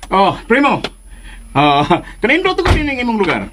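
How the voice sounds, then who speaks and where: A man's voice: very high-pitched, wildly swooping pitch, moderate pace, noisy background, exaggerated voice. A man playing a meddlesome, boastful old man in a Filipino radio drama, stammering, hesitant and given to exclamations. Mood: bold. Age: older.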